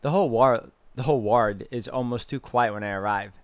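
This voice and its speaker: A man's voice: medium pitch, fairly quick, clean tone. A man hosting a game walkthrough, reading visual novel narration aloud and adding his own asides. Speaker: video game walkthrough host.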